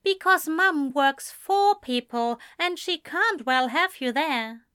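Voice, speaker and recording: A woman reading a patient, gently firm male uncle who speaks in a measured tone. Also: high-pitched, animated, pitched above the performer's own voice, full character voice. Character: patient, measured middle-aged male guardian.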